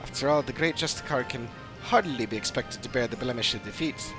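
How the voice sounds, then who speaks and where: A man voicing a measured, formal investigator in fantasy game dialogue, speaking deliberately. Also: mid-range voice, music in the background, lightly acted. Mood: analytical. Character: measured fantasy investigator piecing clues together. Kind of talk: scripted game dialogue reading.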